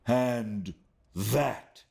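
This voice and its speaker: A man voicing a cruel, proud woman who speaks with slow, sneering contempt. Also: medium pitch, vocal fry, darkish tone, voice pushed up. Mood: scornful.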